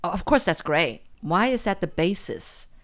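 A woman from Taiwan hosting a radio interview, asking measured questions and affirming often. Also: lowish voice, lively intonation, fairly bright tone, no room sound, studio quality. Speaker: radio show host. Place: studio.

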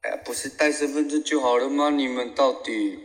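A man's voice: medium pitch, brisk, close to an everyday voice. A man playing a muddled older tour passenger in an audio drama, grumbling and full of questions. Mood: querulous. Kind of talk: amateur audio drama acting. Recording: echoey hall sound.

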